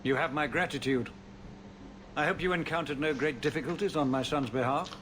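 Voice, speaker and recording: A man's voice: on the high side, fairly quick, natural voice. An actor playing a careworn, cautious city ruler and father in a fantasy video game, speaking in a formal, stately way. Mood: relieved, gracious. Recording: loud ambient noise behind.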